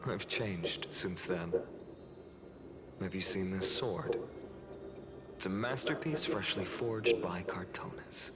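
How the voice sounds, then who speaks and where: A man voicing an earnest, resolute young swordsman hero in an anime game, in a breathy, measured delivery. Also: sits low. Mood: fond, confident. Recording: loud music behind.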